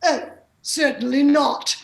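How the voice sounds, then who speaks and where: Imperious, snooty English lady, high and unhurried, comic radio play, roomy sound. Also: fairly even intonation, gravelly, exaggerated voice. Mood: snobbish outrage. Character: haughty, scornful English aristocratic lady art snob.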